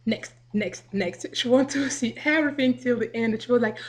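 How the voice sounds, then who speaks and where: A woman's voice: a little high, moderate pace. A young woman from Africa, chatting casually to a home webcam, rambling and laughing as she acts out quotes. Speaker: vlogger. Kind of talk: casual video response to the camera.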